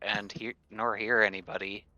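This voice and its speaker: A man's voice: lowish voice, flat delivery, moderate pace, bright, forceful tone, no echo, highs cut off, soft background music. A man playing a tabletop game, talking casually and hesitantly as he works out what his character says. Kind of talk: casual in-game roleplay talk.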